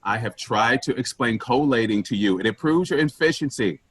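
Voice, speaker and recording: A man playing a pushy, penny-pinching office boss in a staged dark comedy, blunt and self-important as he lectures. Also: medium pitch, animated, normal speed, breathy edge. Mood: lecturing.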